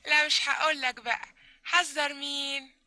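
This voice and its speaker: Coy, high-pitched Egyptian flirt. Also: female voice, level tone, normal speed, sharp tone. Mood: teasing, flirtatious. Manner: drawling, exaggerated coyness. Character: coy, teasing, flirtatious woman on a late-night phone call. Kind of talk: old Egyptian radio comedy acting.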